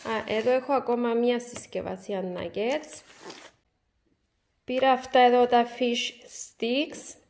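A woman's voice: fairly high pitch, steady tone, slight background noise. Chatty woman vlogging at home. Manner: chatty, warm sign-off, item-by-item show and tell. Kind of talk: casual supermarket haul vlog. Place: bright room by a window, indoors.

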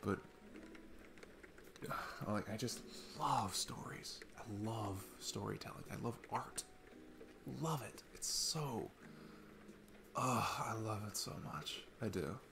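Man acting as easygoing young dad on a date, low gravelly voice, earnest rambling, ASMR roleplay, informal delivery. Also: animated, takes it slowly, long pauses, noisy background, exaggerated voice. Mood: earnest, passionate. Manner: rambling, informal, chuckling often. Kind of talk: ASMR roleplay monologue, casual date chat. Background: music.

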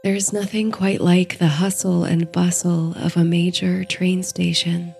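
A woman narrating a bedtime sleep story and guided meditation in a soothing, gentle, hushed and measured way. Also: fairly low pitch, little pitch movement, vocal fry, slightly rough, faint music behind.

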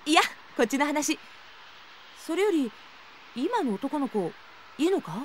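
A woman's voice: high-pitched, sing-song, measured pace, a little hiss or hum. A woman playing a frank, sporty young swimmer, speaking in a casual, slightly tomboyish way in an audio drama. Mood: friendly, frank. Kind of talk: Japanese audio drama acting.

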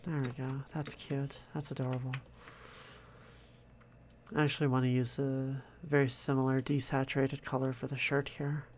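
A man quietly narrating his own drawing process, in a soft-spoken, calm way, thinking out loud. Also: mid-range voice, normal speed, slight background noise. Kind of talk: casual relaxed commentary over drawing.

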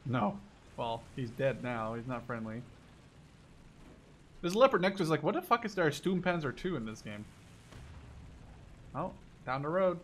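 A man's voice: mid-range voice, very animated, moderate pace, pauses often. A man casually commenting on a game as he plays with a friend, joking and swearing. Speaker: gamer playing with a friend. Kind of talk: casual gaming chat, commentary. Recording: clear background game noise.

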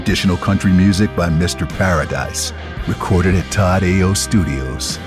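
A man's voice: deep voice, normal speed, music in the background. A man announcing the credits of an audio drama in a scripted read.

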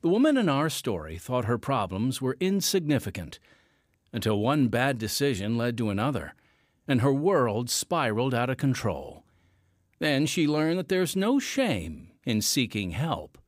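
A man's voice: mid-range voice, sing-song, unhurried, dry recording, clean studio sound. A man delivering dramatic scripted narration as the announcer of a radio drama. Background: music.

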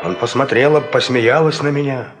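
A man's voice: medium pitch, moderate pace, music in the background, close to an everyday voice. An actor playing a melancholy, pleading peasant man in a Soviet radio play. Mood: tender, pleading.

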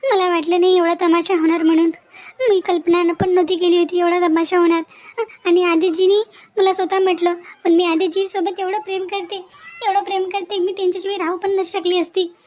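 Young cartoon bride, played by a woman: devoted, anxious, pleading, with a very high voice and repeated phrases. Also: flat delivery, fairly quick, a little mellow. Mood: anxious, earnest. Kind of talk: cartoon family melodrama acting.

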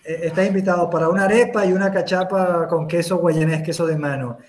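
Man, host, high voice, friendly moderating, video call. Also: steady tone, brisk.

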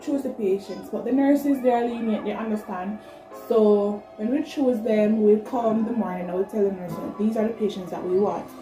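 High-voiced young Jamaican woman, echoey room. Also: dark tone. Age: 20s. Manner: chatty, conversational, addressing viewers directly. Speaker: vlogger, nursing student. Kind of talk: casual vlog talk to camera. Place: small plain room indoors. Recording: echoey room, music behind. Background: background music.

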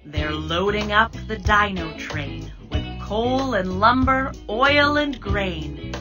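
Expressive, slow-paced woman narrator over music. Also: mid-range voice. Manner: measured, expressive. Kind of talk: scripted rhyming children's audiobook narration.

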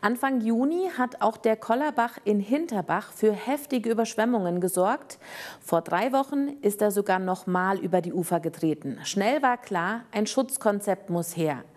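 A young woman news presenter introducing a story from a studio in a lively announcing tone. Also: medium pitch, moderate pace.

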